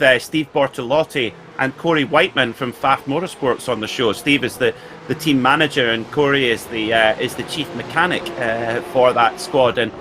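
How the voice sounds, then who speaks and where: A man's voice: medium pitch, pushed and bright, a little hiss or hum. A man, a sim racing co-commentator, talking through the race analytically and chattily, with many fillers. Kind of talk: live race commentary and banter.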